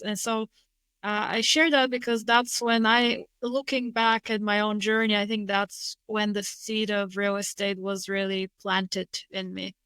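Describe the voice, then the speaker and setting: A woman from Bulgaria, a real estate investor, answering interview questions in a measured, thoughtful way with frequent restarts. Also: mid-range voice, a bit bright, clean tone, no echo. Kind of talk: interview conversation.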